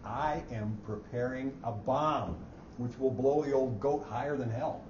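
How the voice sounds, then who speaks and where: A man's voice: medium pitch, unhurried, darkish tone, natural voice. A man playing a meek, precise and slyly scheming filing clerk in a staged story reading, polite with theatrical flourishes. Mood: gleeful, sly. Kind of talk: first-person story narration and staged dialogue. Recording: echoey, muffled, noisy live stage recording.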